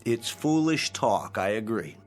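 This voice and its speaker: An actor playing a calm manager of an old folks' home in a radio drama, speaking in a patient, placating way. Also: male voice, on the low side, unhurried, soft background music. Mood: understanding.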